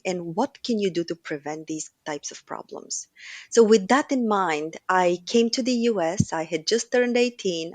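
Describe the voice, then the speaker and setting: Bulgarian-accented woman, measured and dry-sounding. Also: medium pitch, no room sound. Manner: measured, structured explanations. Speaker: guest, operations executive. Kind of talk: podcast interview.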